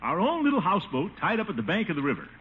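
A pompous middle-aged official from a 1950s radio comedy, with a highish, very animated delivery. He blusters and wheedles grandly through a dull, faintly hissy old broadcast. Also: racing. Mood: grandly persuasive. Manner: theatrical, swooping, grandiose delivery. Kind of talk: 1950s radio sitcom acting. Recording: muffled, faintly noisy old radio recording.

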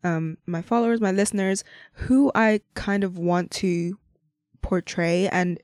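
A woman's voice: lowish voice, fairly even intonation, unhurried, darkish tone, no room sound, clean studio sound. A young woman from Hong Kong hosting a casual, unscripted podcast, rambling cheerfully with lots of fillers and frequent little laughs. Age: young adult. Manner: rambling, chatty, frequent "like" and "you know", laughs often. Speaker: podcast host.

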